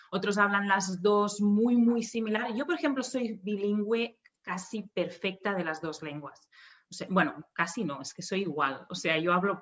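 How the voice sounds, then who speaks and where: Interview guest: woman from Barcelona, mid pitch, reflective and chatty, dull call audio. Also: fairly bright tone. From Barcelona, Spain. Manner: thoughtful, restarts phrases, laughs easily. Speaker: guest speech therapist and linguist. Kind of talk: podcast interview.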